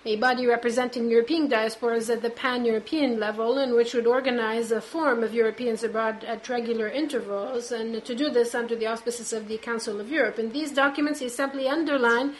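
A woman giving a simultaneous interpretation of a formal speech at a conference, halting, with constant "uh" fillers between words. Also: fairly high pitch, fairly even intonation, a little hiss or hum, poor audio.

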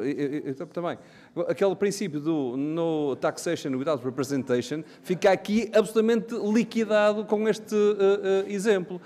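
A man's voice: fairly high pitch, dark tone. A man with a European Portuguese accent giving an argumentative parliamentary speech, with frequent hesitations and restarts. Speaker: member of parliament. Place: parliament chamber. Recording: long reverb of a large chamber.

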